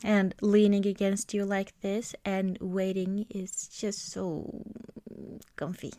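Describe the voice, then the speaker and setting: A woman doing an ASMR roleplay as an affectionate girlfriend, chatting casually and hesitantly in a soft, intimate way. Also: mid-range voice, level tone, slow, no echo. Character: affectionate, cozy girlfriend baking at Christmas.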